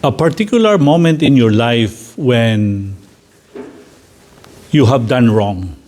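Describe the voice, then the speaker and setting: A man with a Filipino English accent, a priest leading a slow, gentle guided reflection for a gathering. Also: medium pitch, lively intonation. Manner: slow, deliberate, gently inviting.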